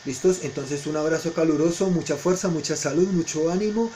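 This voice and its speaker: A man's voice: a little high, brisk, mellow, a little hiss or hum. A man teaching a history lesson to students in an informal lecture style, explaining didactically and often checking in with short questions.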